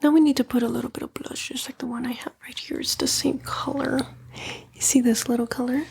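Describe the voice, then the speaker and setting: A woman playing a coaxing, condescending female demon in a breathy, whispered ASMR roleplay. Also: high voice, fairly even intonation, brisk, darkish tone, heavily acted. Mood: coaxing, soothing. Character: female demon, a fallen angel, coaxing and vain tempter.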